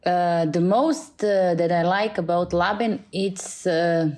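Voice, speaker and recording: Low, slow-talking Croatian woman. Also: darkish tone. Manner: hesitant, many fillers and restarts. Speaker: school librarian and Italian teacher, guest. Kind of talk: podcast interview. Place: school library.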